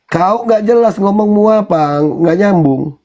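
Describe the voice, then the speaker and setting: A man's voice: high-pitched, moderate pace, dark tone. A man hosts an informal live online debate, speaking in a blunt, challenging way. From Indonesia.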